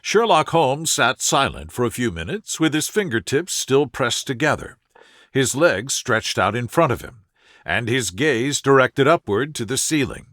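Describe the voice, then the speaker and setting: A man reading a scripted bedtime story as narrator in a soothing, measured way. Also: medium pitch, sing-song, a bit bright, dry recording, clean studio sound. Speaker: audiobook narrator.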